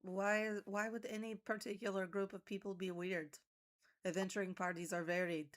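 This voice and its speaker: A woman's voice: mid-range voice, flat delivery, moderate pace, natural voice. A woman playing an earnest, forthright adventurer, talking bluntly and a little ramblingly.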